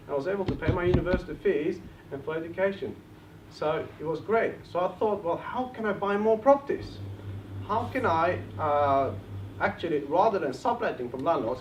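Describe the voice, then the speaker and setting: A man in his thirties from Bulgaria with a Bulgarian accent, giving an earnest motivational talk at a property seminar. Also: a little high. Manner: earnest, rallies the crowd. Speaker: property investment speaker. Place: event hall. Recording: roomy, distant, dull lo-fi with faint noise.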